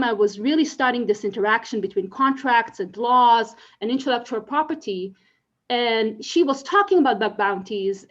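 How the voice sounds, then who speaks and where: A woman's voice: medium pitch, dull audio. A woman from Israel with an Israeli accent, giving an earnest conference presentation full of fillers and restarts. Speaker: conference speaker.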